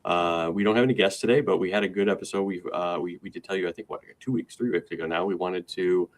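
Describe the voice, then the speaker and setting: A man's voice: sits low, steady tone, quick pace. A man co-hosting a business podcast, chatting in an upbeat, loose way with lots of fillers and restarts. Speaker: podcast co-host. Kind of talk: casual chat.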